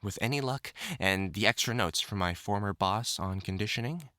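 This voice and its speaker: A man's voice: on the low side, normal speed, pushed and bright, no echo, clean studio sound. A man playing an obsessive, lovesick furred supervillain in a dramatic audio roleplay, speaking intimately to the listener with theatrical endearments. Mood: resigned, menacing tenderness. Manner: pleading, stammering, theatrical endearments. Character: obsessive lovesick male supervillain with fur, desperate and menacing.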